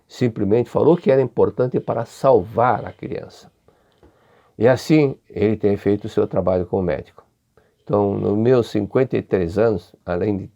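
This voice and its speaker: An older man with a Brazilian Portuguese accent giving a religious study lecture, unhurried and often repeating or restarting his phrases. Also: on the low side, gravelly, mellow, highs cut off.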